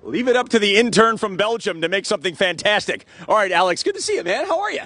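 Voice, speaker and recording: A middle-aged male reporter talks very fast in a fairly high, husky voice. He is effusive and chatty, interviewing live outdoors into a handheld mic. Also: very animated, sharp tone. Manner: enthusiastic, effusive. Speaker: TV field reporter. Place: outdoors in a sunny city park. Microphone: handheld reporter microphone.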